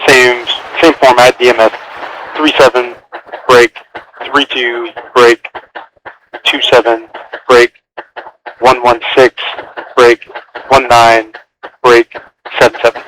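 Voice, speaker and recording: A man speaks as a military mission controller over air-band radio. His phrasing is clipped and procedural, delivered in short instructions at an average pitch. The transmission is clearly noisy, with static and clicks. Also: level tone, slow, long pauses, a bit bright.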